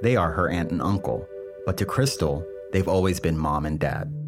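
A man hosting a documentary podcast, reading scripted narration in a measured, earnest way. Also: sits low, over music. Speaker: podcast host.

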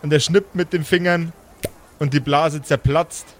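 A man narrating an improvised pen and paper story as game master, theatrical and pausing for effect. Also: on the high side, steady tone.

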